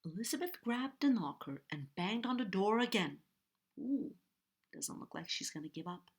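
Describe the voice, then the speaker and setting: A woman's voice: medium pitch, lively intonation, a little fast, husky. A woman reading a children's story aloud expressively, stretching key words for dramatic emphasis. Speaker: storybook reader.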